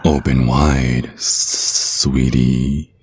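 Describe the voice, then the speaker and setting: A man playing a sinister vampire naga kidnapper in an ASMR roleplay, slow and deliberate, with menacing politeness and a husky voice. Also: rumbling low, level tone, darkish tone, slight background noise, heavily acted. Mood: dark relish.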